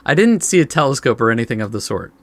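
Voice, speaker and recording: A man's voice: medium pitch, very animated, quick pace, a bit bright. A man reading game text aloud as a let's play host, adding laid-back asides.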